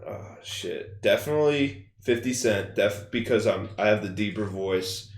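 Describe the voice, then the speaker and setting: A man's voice: on the low side, unhurried. A young man in his twenties, a rapper chatting casually on a podcast, laid-back and rambling, swearing freely. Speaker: rapper guest. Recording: echoey room.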